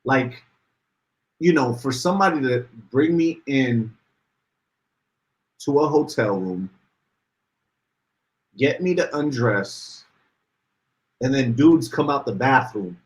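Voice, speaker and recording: A man's voice: takes it slowly, pauses often, rough recording. Man, podcast co-host, casual chat, reads viewer comments, voice climbs when worked up. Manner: animated, rises in pitch when worked up.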